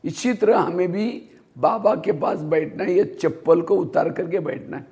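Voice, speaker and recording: A man's voice: high voice, measured pace, warm tone. A man giving a spiritual class, telling anecdotes and asking rhetorical questions that he answers himself. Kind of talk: Hindi spiritual discourse.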